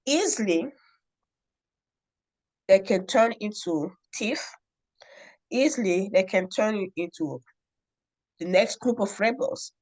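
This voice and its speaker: A middle-aged woman from the Democratic Republic of Congo, telling a story as a guest in an interview in an earnest, deliberate way with many pauses. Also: mid-range voice, animated, darkish tone, low-quality recording. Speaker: guest, nonprofit founder.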